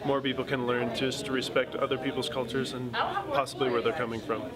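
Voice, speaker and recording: Man speaking over loud crowd noise. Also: medium pitch, sing-song, brisk. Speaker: event organizer. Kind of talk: event introduction.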